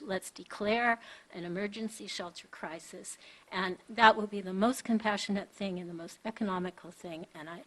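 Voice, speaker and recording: A woman of mid pitch speaks at a podium microphone in a meeting chamber. She makes an earnest, pleading public comment. She halts often and pauses between her points. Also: fairly bright tone, poor audio.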